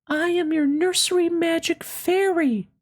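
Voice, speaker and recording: A gentle nursery fairy from a children's storybook. The voice floats very high, far above ordinary talk. She speaks slowly and soothingly, with a caring, kindly manner. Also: fairly even intonation. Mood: gentle, reassuring.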